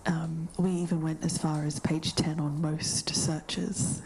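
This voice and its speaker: Whispering Australian woman, creaky and low. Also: level tone, slow, a little hiss or hum, rough recording. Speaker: podcast co-host. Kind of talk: whispered podcast narration. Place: quiet university library.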